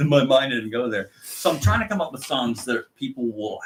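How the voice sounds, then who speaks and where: A man's voice: medium pitch, lively intonation, normal speed, slight background noise. A man co-hosting a live chat show, talking casually, trailing off mid-sentence and laughing often. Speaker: co-host.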